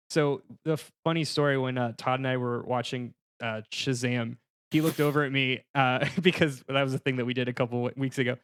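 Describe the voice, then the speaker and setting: A man's voice: mid-range voice, fairly bright tone, smooth, dry recording, clean studio sound. A man co-hosting a podcast, chatting casually with hesitant, rambling phrasing. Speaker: podcast co-host.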